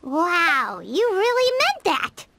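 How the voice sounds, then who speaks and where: A woman voicing a tiny, excitable, childlike fairy companion in a video game, with a squeaky voice. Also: takes it slowly, heavy background noise, full character voice. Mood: excited. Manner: excitable, exclamatory. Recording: loud game music behind. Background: game music.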